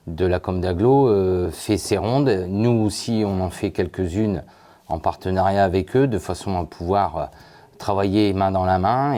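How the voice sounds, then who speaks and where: A man's voice: low voice, dark tone. A man speaking as a village mayor, giving answers in an interview.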